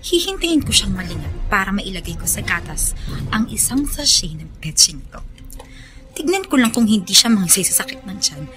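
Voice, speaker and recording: A woman's voice: medium pitch, very animated, moderate pace, music in the background, lightly acted. A woman playing a spiteful, jealous, scheming young woman in a Filipino radio drama, with a sneering, scornful delivery. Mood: gloating, scheming. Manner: sneering, scornful, muttering plots to herself.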